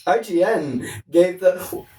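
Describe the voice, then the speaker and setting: A man's voice: high-pitched, slow pace, mellow, a little hiss or hum. A man co-hosting a podcast with casual, comedic, rambling banter about films, full of jokes and casual swearing. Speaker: podcast co-host. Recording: roomy echo, distant from mic.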